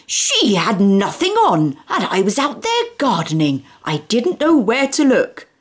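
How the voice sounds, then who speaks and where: A woman acting out a furious middle-aged man with a volcanic temper, roaring and ranting in a storybook reading. His outrage climbs high and loud, the delivery swinging wildly. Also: huge pitch swings, unhurried. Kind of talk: audiobook character reading.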